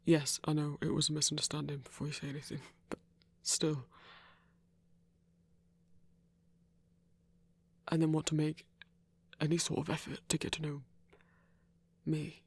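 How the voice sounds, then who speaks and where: A man's voice: on the high side, completely flat delivery, brisk, slightly rough, no room sound. A man playing a shy, earnest young hunter in an intimate ASMR audio roleplay, stammering and hesitant, with nervous little laughs. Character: shy, earnest young male monster hunter, smitten and awkward.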